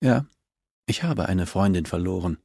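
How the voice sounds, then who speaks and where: Man reading as a cool policewoman grilling a suspect, crime audiobook, calm but pushy, slight creak. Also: low voice, normal speed, no room sound, studio quality, heavily acted. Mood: cold. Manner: calm, insistent, accusatory.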